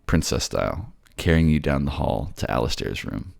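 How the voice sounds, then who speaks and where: A man's voice: rumbling low, expressive, moderate pace, vocal fry. A man reading scripted fantasy audio drama narration in an ASMR style. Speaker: narrator and voice actor.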